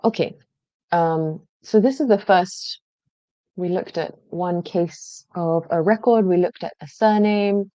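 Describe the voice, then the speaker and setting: A woman presenting a genealogy database, explaining each step in a conversational way with frequent pauses. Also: fairly low pitch, mellow, no echo. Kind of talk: screen-share database walkthrough.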